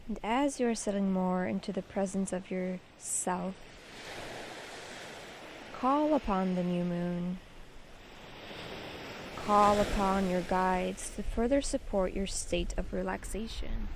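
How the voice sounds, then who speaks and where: Woman doing guided meditation, really slow n soothing, creaky voice, wavey noise behind her. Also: mid-range voice. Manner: slow, soothing, measured instruction with pauses.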